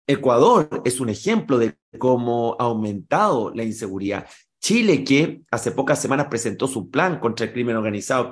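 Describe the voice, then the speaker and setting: A man speaks as an expert guest, laying out his points in a measured, explanatory way. His voice sits in an ordinary middle pitch. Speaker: political risk analyst. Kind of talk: expert interview answers.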